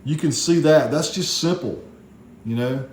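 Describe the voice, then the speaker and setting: Mellow-voiced man in an echoey room. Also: on the high side, measured pace. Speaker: radio hobbyist reviewing gear. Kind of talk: casual product review vlog. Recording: echoey room, faint background noise.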